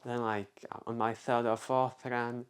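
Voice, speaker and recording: A man's voice: mid-range voice, little pitch movement, slow pace, clean tone. A young man from the Czech Republic with a Czech accent, telling a casual personal story on a vlog, rambling, restarting and filling with "like". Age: young adult. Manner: rambling, self-interrupting, many fillers. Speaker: vlogger.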